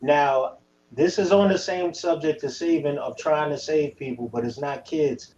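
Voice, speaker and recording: Animated New man on a call. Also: on the high side, a little slow, echoey room, lo-fi sound, slight background noise. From New York. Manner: animated storyteller. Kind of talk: casual chat.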